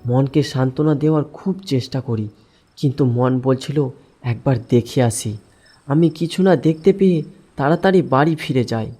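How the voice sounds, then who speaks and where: A man's voice: medium pitch, fairly quick, mellow. A man narrating a first-person horror story in a dramatic, suspenseful way.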